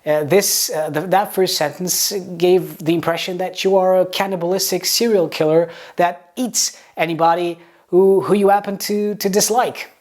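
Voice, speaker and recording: A man's voice: high-pitched, fairly even intonation, darkish tone. Man with a Portuguese accent teaching pronunciation. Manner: explains with examples, slow deliberate demonstration words, some stammered restarts. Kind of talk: video lesson to camera.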